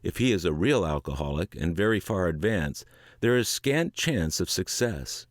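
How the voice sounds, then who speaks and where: A middle-aged man reading a book aloud word for word, measured and earnest, dropping low at the ends of sentences. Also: sing-song, no echo, clean studio sound. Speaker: audiobook reader.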